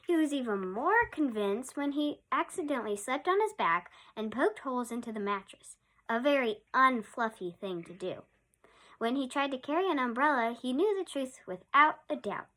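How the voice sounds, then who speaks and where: High-pitched woman reading a picture book. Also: animated, normal speed, a bit bright. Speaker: children's storyteller. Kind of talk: picture-book read-aloud for young children.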